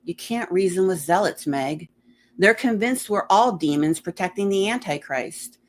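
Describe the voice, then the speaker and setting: A woman voicing a troubled, forceful woman leader, exasperated and insistent, in a fantasy story. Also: lowish voice, animated, normal speed, close to an everyday voice.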